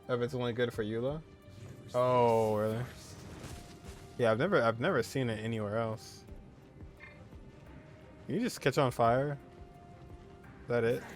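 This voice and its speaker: Unhurried man doing casual game commentary. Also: medium pitch, faint music behind. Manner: informal, muttering to himself, exclamatory. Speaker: gaming streamer. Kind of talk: casual, reactive gameplay commentary.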